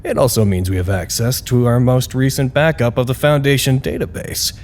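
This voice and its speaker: An actor playing a wry, deadpan announcer reading chatty status reports in a scripted audio drama broadcast. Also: male voice, medium pitch, normal speed, slight background noise, close to an everyday voice. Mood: wry, dry. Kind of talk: scripted in-character radio broadcast. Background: music.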